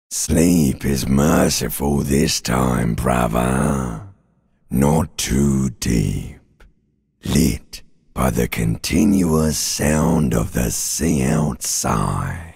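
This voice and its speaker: A man voicing an ancient reptilian brain in a video game, a seductive, menacing, world-weary inner voice with a guttural rasp and sardonic crooning. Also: rumbling low, darkish tone, exaggerated voice. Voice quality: gravelly, raspy, guttural croak. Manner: slow, drawn-out, mock-soothing, sardonic crooning. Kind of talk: theatrical video game voice acting.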